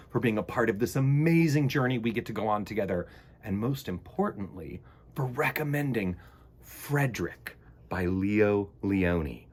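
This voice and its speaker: A man presents a storytime for children in a husky voice. His pitch moves around a great deal as he talks and reads aloud. The delivery is enthusiastic and theatrical, with plenty of emphasis. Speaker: host of a children's storytime show. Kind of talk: animated read-aloud and chat for children.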